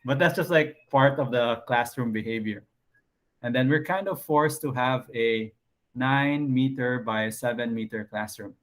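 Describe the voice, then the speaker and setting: A man's voice: a little slow, fairly bright tone. Man teaching an online class. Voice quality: low voice. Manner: casual, thinks aloud with frequent fillers.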